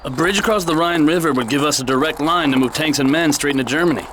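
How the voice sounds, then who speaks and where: An actor playing a seasoned, skeptical army officer in a war video game, briefing in a brisk, matter-of-fact way. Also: male voice, on the high side, lightly acted. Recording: very noisy game soundtrack. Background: loud outdoor vehicle noise.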